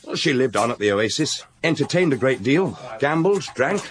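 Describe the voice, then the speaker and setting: An actor playing a young, earnest police inspector in a radio drama, answering respectfully and briefly. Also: male voice, medium pitch, big pitch swings, moderate pace, close to an everyday voice.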